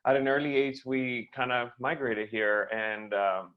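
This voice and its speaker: A man born in Cuba, speaking as a podcast guest in a casual, lively way, with lots of "like" and "y'all". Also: mid-range voice, measured pace. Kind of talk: casual chat. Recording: dull lo-fi sound.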